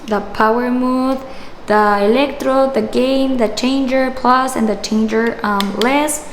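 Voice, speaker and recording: A young woman with a slightly high voice talks casually through a product unboxing. Her delivery is slow and hesitant, improvised as she goes. The room is echoey. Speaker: product reviewer. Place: small indoor room with shelves. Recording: echoey room with background noise.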